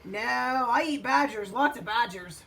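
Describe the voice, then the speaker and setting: A woman acts out a storybook wolf, high and swooping in voice. The wolf is hungry and boastful, yet offers knowing, friendly advice with drawn-out emphasis. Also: lively intonation, a bit bright, rough recording.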